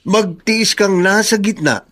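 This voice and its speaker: An actor playing a blunt, exasperated best friend in a Filipino radio drama, nagging his pal with animated advice. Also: high voice, a little slow, a little hiss or hum. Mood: blunt advising.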